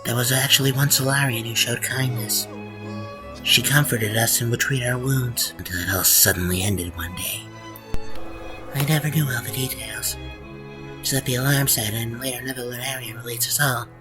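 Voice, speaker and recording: A man voicing a gentle, hopeful young hedgehog in a comic dub, speaking softly and earnestly. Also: medium pitch, moderate pace, natural voice. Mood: somber, remembering. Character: gentle, hopeful young male Lunarian hedgehog, former captive. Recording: prominent background music.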